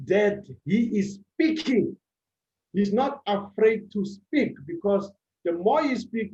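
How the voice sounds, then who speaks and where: A man with a fairly high voice delivers a motivational talk, slowly and deliberately. He builds his points from short, punchy phrases and repeats them for emphasis. The sound is dull and echoes through a room. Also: a little mellow. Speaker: public speaking club speaker. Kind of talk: motivational speech.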